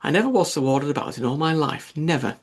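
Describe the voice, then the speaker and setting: A man's voice: fairly high pitch, expressive, quick pace, husky. A man voicing a polite, thoughtful young girl in a storybook, speaking earnestly. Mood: puzzled.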